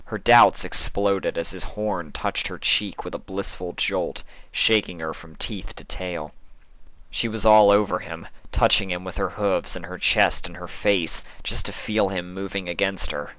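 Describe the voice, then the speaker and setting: A narrator giving a measured, expressive, dramatic reading of a fan fiction story. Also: deep voice, no room sound, rough recording.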